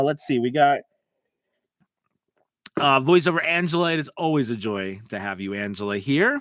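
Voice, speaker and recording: A man's voice: a little high, fairly bright tone, clean tone, dry recording, studio quality. An American man hosting a live stream, giving voice-over business tips and answering chat questions in a loose, talkative way with many restarts. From United States.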